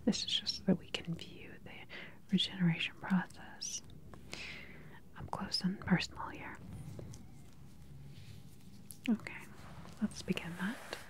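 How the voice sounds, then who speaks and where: A woman in her thirties whispers close to the microphone in a gentle, reassuring clinical manner, role-playing a doctor for ASMR. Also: fairly low pitch, fairly even intonation, normal speed. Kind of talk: whispered ASMR medical exam roleplay. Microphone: close microphone, face near the camera.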